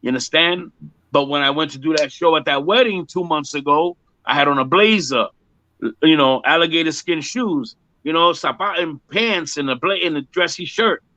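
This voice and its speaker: Man, fifties, slightly high voice, very dry close sound, emphatic joking delivery, casual interview, muffled audio. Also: normal speed, bright, forceful tone, no echo. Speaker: music performer being interviewed. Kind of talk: casual one-on-one chat.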